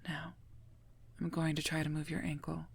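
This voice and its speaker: A woman as a whispering, gravelly protective werewolf knight. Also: low-pitched, monotone, normal speed, exaggerated voice. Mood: careful, concerned. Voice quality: breathy, soft whisper. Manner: formal, gently scolding, earnest. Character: stern, protective female werewolf knight guarding royalty. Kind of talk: whispered ASMR roleplay.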